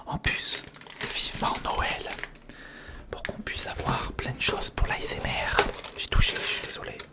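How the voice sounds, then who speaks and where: An ASMR creator narrating an unboxing in a whisper, speaking informally and intimately to the viewer. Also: measured pace. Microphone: sensitive recorder microphone, close.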